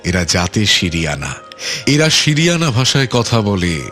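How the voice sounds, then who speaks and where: An actor playing a controlling middle-aged island overlord in a radio play, deliberate and courteous with menace, repeating phrases with relish. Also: male voice, fairly low pitch, very animated, fairly bright tone, soft background music. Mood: guarded, lordly.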